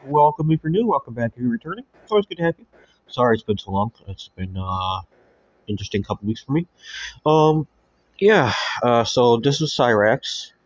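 A man's voice: mid-range voice, very animated, fairly quick, dry recording. Stuffy-nosed sarcastic man, lo-fi webcam. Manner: sarcastic, mocking interjections. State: stuffy nose. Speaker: reaction channel host. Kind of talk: casual commentary over a played clip. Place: dim small room indoors. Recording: dull lo-fi sound.

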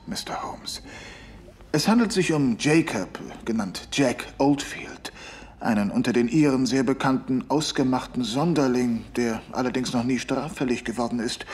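An actor playing a dry, authoritative Victorian police inspector in an audio drama. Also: male voice, mid-range voice, darkish tone, lightly acted.

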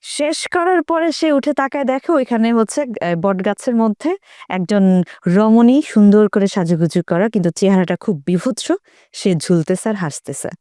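Bangladeshi woman chatting, mid-pitched and dry. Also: animated, normal speed, dark tone, no room sound. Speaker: participant in a group chat. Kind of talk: casual anecdotal storytelling.

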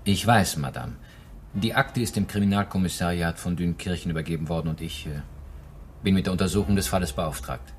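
An actor playing a polite but persistent police inspector in a German radio crime drama, speaking formally and with measured care. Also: male voice, low voice, a little hiss or hum, natural voice. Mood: polite, probing. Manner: formal, measured, hesitant fillers.

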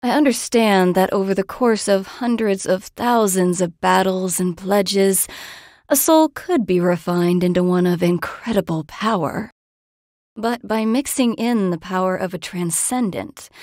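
A woman playing a haughty, skeptical villain commander in a fantasy game, speaking in a measured, disdainful way. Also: mid-range voice, a little mellow, no echo, clean studio sound, close to an everyday voice. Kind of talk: fantasy video game voice acting.

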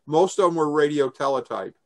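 A man's voice: a little high, brisk, a little mellow. An older man giving an informal, explanatory briefing and answering questions over a video call. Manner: explanatory, conversational. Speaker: presenter taking questions. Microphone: video call microphone.

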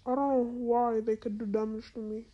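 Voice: mid-range voice, little pitch movement, moderate pace, lo-fi sound. A female-sounding voice through a voice changer, giving casual gameplay commentary in an exclamatory, incredulous, slangy and repetitive way. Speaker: gaming video host.

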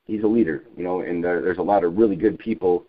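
Male activist, very low voice, rambling and insistent political talk, narrowband dry phone audio. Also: no room sound. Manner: halting, repetitive, insistent, peppered with you know. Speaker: civilian activist on a group conference call. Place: telephone conference call. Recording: muffled narrowband phone conference line.

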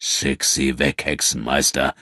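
Ein Mann, der in einem Hörbuch einen finsteren, drohenden Mann spricht, der seine Wut nur mühsam beherrscht. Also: male voice, a bass voice, little pitch movement, exaggerated voice. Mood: menacing, restrained rage. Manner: dark, threatening, slow. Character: menacing, brooding Nubian man, barely controlling his fury. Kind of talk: audiobook character acting.

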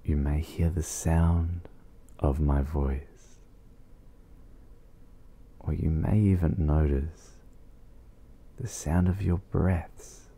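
A man leading an ASMR guided meditation in a soft, soothing, breathy voice with long pauses. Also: a bass voice, fairly even intonation.

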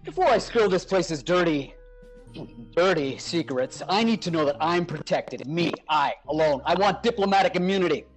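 A man's voice: a little high, expressive, moderate pace, faint music behind, lightly acted, low-quality recording. A man acting a smug, scheming, self-important store slacker in a pompous, dramatic way at a live comedy read.

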